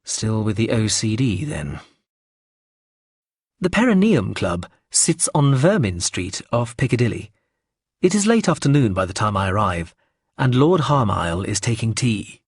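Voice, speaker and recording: A man narrating a first-person story aloud in a measured, wry way. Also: on the low side, sing-song, breathy edge, no echo, clean studio sound.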